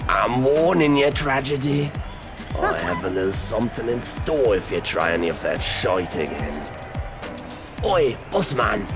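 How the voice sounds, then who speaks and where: A man's voice: on the low side, big pitch swings, measured pace, over music. A man doing a foul-mouthed hot-tempered goblin. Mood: snarling. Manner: crude, swearing, threatening. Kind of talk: audio drama roleplay.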